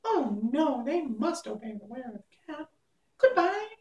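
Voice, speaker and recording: A man voicing a small, squeaky mouse queen in a storybook, courteous and helpful. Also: big pitch swings, a little slow, echoey room, recorded from a distance. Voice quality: tiny, squeaky. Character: squeaky little old-world queen of mice, helpful.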